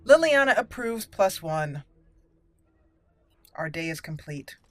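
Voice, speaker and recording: A woman's voice: lowish voice, big pitch swings, slow. A woman hosting a gaming channel, giving roleplay commentary and musing aloud about the story. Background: game music.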